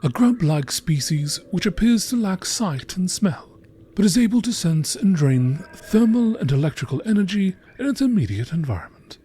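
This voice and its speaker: A man reading scripted nature documentary style narration in a measured, informative way. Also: high-pitched, sing-song, warm tone, a little hiss or hum. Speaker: narrator reading game text.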